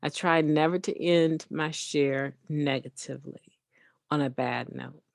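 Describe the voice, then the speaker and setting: A woman with a booming voice giving an earnest, encouraging spoken meditation on recovery, talking conversationally and often tagging her points with a questioning "right". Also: fairly even intonation, measured pace, gravelly, no room sound, clean studio sound.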